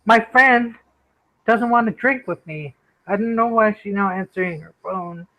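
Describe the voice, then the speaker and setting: A woman's voice: mid-range voice, unhurried, dull audio. A woman in her thirties chatting casually in an online group, self-mocking and easygoing. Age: 30s. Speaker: livestream chat participant.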